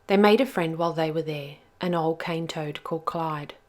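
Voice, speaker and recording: A woman's voice: low-pitched, normal speed. A woman storyteller reading a rhyming children's picture book aloud with expression.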